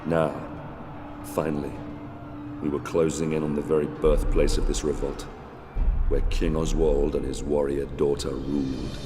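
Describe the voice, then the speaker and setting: Grave roman soldier narrating war story, super deep, flat and solemn, game cinematic. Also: male voice, unhurried. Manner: solemn, weighty. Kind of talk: scripted dramatic narration. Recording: long reverb, loud music behind.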